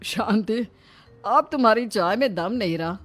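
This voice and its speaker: A woman's voice: a little high, expressive, unhurried. A woman voicing a kindly, joking elder brother-in-law who speaks reassuringly. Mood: friendly. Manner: jovial, reassuring.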